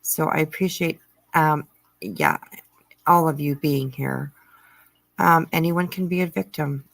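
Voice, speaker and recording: A middle-aged woman hosting a livestream, chatting casually and answering viewer comments in a halting way with frequent pauses. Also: sits low, lively intonation, vocal fry. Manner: halting, pausing often mid-sentence. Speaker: livestream host.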